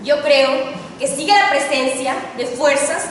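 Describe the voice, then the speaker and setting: A young woman student with a Mexican accent giving a formal, solemn oratory speech with emphatic delivery and dramatic pauses. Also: sits high. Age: teens to young adult. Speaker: student contestant in an oratory contest. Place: large reverberant indoor hall. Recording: echoey hall, distant mic, faint noise.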